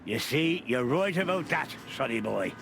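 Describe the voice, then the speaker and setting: A man's voice: mid-range voice, sing-song, normal speed, gravelly. An actor playing a jovial, stubborn old beaver in a video game, with an Irish accent and a teasing, folksy, fatherly manner. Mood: fond, wry. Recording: music under the voice.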